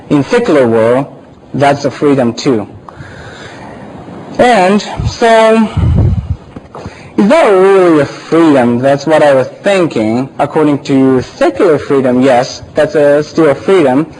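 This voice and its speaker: A man with a Japanese accent, whose first language is Japanese, gives an informal church talk, often pausing and searching for words. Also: on the high side, expressive, a little mellow, a little hiss or hum, poor audio. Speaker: church speaker.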